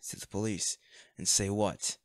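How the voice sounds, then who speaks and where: A man playing a devoted, protective bodyguard with a dark past in an intimate audio roleplay, speaking earnestly and gravely. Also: fairly low pitch, fairly even intonation, normal speed, no echo, close to an everyday voice. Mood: grave, determined.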